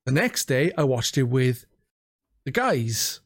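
A man's voice: medium pitch, very animated, slow pace, dry recording, clean studio sound. A man in casual podcast chat, with a silly, crude way of joking. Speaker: podcast guest or co-host.

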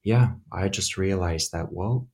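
Deep husky man with an American-blend accent. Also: a little slow, darkish tone. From Ukraine. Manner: hesitant, thoughtful, many fillers. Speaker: guest, English teacher. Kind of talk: interview answers.

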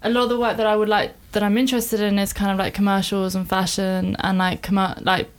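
A woman's voice: medium pitch, little pitch movement, fast. A woman, a film director talking reflectively and informally in a casual interview, explaining her work at length. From born in Iran, grew up in England. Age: 30s.

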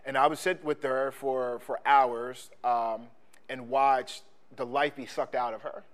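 Man, podcast co-host, casual talk, halting, lots of restarts, mid-pitched. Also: fairly even intonation. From Philadelphia. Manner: halting, many restarts and ums. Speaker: sports coach and trainer.

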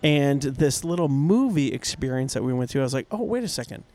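A man's voice: mid-range voice, big pitch swings, brisk, darkish tone. A middle-aged man from North America co-hosting a casual podcast chat, playful and jokey, often laughing and singing bits. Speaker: podcast co-host.

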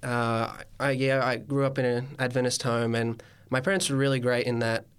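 A man's voice: medium pitch, little pitch movement, normal speed, clean tone. A man telling childhood anecdotes as an interview guest, in a wry, good-humored way. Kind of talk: casual anecdotes.

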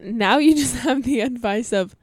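A woman's voice: a little high, unhurried, husky, no room sound. A young woman co-hosting a casual chat, teasing her friend and laughing. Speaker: co-host.

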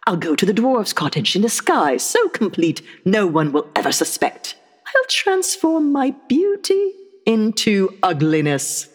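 A woman's voice: mid-range voice, very animated, unhurried, breathy edge. A woman playing a vain, scornful, murderous evil queen in a comic radio play, with a theatrical, imperious delivery. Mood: indignant, irritated. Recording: long hall-like reverb.

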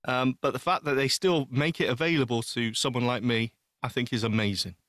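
A man's voice: mid-range voice, fairly even intonation, fairly quick, fairly bright tone, dry recording, studio quality. A man talking casually and earnestly as a panelist on a podcast, with an emphatic way of putting things.

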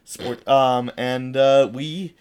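Hoarse young man, chatty podcast host. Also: mid-range voice, fairly even intonation, drawn-out words, a little mellow. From United States. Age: young adult. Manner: friendly, chatty, stumbles and restarts often. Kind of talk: casual chat.

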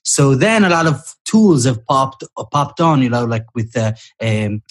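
Man from Israel, panelist, hesitant, slightly husky. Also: mid-range voice, very animated, a little slow. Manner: hesitant, many fillers. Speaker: podcast panelist. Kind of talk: casual discussion.

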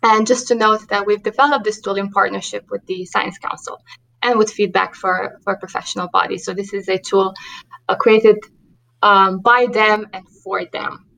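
A woman with a Romanian accent speaks in a podcast interview, earnest and steady. Her voice sits at a middle pitch. She pauses often with ums and restarts her sentences. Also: creaky, low-quality recording. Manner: earnest, many fillers and restarts. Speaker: diversity and inclusion manager, guest.

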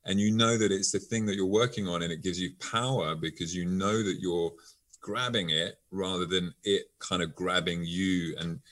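A man's voice: low-pitched, steady tone, normal speed, a bit bright. A man being interviewed as a guest, explaining with examples in an eager conversational way.

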